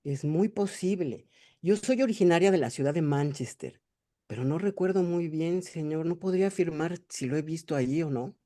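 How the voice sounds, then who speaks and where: Casting: man reading a shy polite lady, mellow voice, plain script reading. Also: fairly high pitch, normal speed, lightly acted. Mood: polite, vague. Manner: reading a play script aloud. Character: Mrs. Martin, timid middle-class lady. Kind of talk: classroom play reading.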